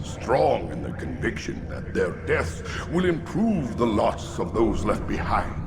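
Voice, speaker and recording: Game villain: bitter outcast lord, theatrical and unhurried, heard over music. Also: male voice, lowish voice. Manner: theatrical, slow, grandiloquent. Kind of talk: dramatic video game acting.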